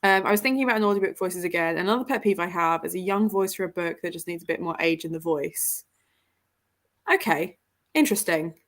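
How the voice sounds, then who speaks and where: A woman's voice: fairly low pitch, a little fast. A woman hosting a casual book livestream, chatting and reading out comments in a jokey, self-deprecating way. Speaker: host of a book livestream with guests.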